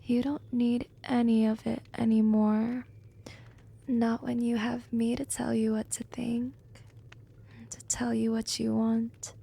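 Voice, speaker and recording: Woman playing a breathy, creaky, seductive vampire hypnotist. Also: a little high, monotone, mellow, heavily acted. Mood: hypnotic, coaxing. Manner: slow, hypnotic, coaxing, dominant. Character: seductive, commanding, teasing female vampire hypnotist. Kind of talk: whispered ASMR roleplay.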